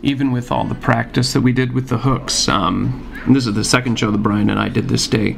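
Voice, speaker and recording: A man's voice: mid-range voice, fairly even intonation, a little fast, gravelly. A man presenting as a puppeteer, talking casually and reflectively as he explains things in a conversational way.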